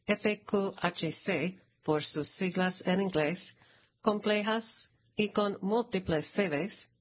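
A woman narrator reads a prepared announcement at a slow, deliberate pace. Her pitch sits in a middle range for a female voice, and her delivery is formal and measured throughout. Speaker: narrator reading a press release. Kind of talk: scripted read-aloud news announcement.

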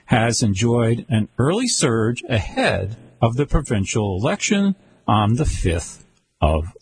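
A man reading world news aloud at a slow, halting pace, stumbling over words and correcting himself. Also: mid-range voice, darkish tone, breathy edge. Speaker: news reader.

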